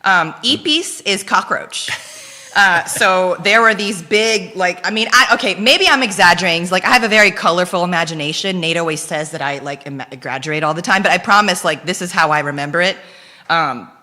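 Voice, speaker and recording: A woman's voice: fairly low pitch, brisk, sharp tone. A young woman from the Philippines whose first language is Dutch, sharing her testimony in a chatty way, with lots of fillers and frequent laughter. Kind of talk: casual conversational testimony. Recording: long hall reverb.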